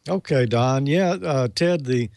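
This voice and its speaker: A man's voice: mid-range voice, unhurried, gravelly, a little mellow, no room sound, clean studio sound. A man co-hosting as an engineer, asking technical questions in a casual conversational way. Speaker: co-host, engineer.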